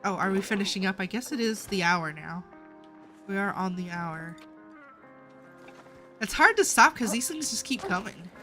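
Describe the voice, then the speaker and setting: A tired woman chatting casually as she livestreams a game, with a slightly stuffed-up nasal voice and a halting, stammering delivery that often repeats words. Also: mid-range voice, lively intonation, sharp tone. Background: music.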